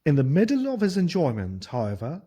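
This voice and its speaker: A storyteller giving a deliberate, expressive scripted reading of a fable for an audiobook. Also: mid-range voice, slightly rough, lo-fi sound.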